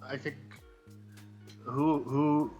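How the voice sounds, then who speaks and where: A man speaking as a guest writer in a casual interview, answering in a hesitant, halting way with trailing asides. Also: medium pitch, fairly even intonation, dark tone, highs cut off, faint music behind. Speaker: comic book writer, guest.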